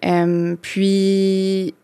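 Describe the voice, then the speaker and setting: A woman's voice: mid-range voice, monotone, very slow, dark tone, clear, resonant tone, no echo. A woman in her thirties from Quebec with a Québécois French accent, chatting as a podcast guest and talking loosely with many fillers and restarts. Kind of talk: casual chat.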